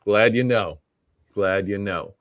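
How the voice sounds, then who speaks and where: A man's voice: fairly low pitch, slow pace, no echo, lo-fi sound. A middle-aged man hosting a live roundtable stream, casually greeting viewers from the chat. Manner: laid-back, shouting out chat viewers. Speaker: host.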